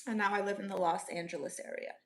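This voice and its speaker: A woman's voice: medium pitch, fairly even intonation, fast talker. A young woman from Orlando, Florida, chatting casually with viewers as livestream host, upbeat and laughing often. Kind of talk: casual chat reading viewer comments.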